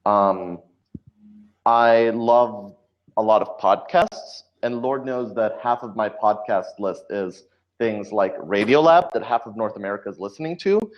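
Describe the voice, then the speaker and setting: Low-voiced man, dull lo-fi sound. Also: measured pace. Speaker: guest, podcast drama writer. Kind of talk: casual interview chat. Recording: dull lo-fi audio.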